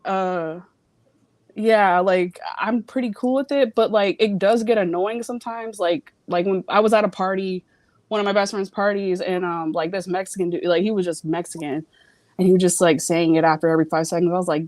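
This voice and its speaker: A woman's voice: medium pitch, a little mellow, no echo. A young woman from Virginia with Jamaican family, calling in to a casual chat show and talking frankly with lots of fillers and some swearing. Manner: rambling, frank, swears freely, many fillers. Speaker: call-in guest. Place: home.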